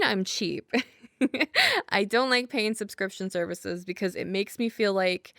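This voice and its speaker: A woman acts out an affectionate young gamer girlfriend in a casual audio roleplay. She rambles in a chatty, self-deprecating way with a giggly streak, in a medium-pitched voice. The sound is dry and free of room echo. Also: fairly bright tone, smooth.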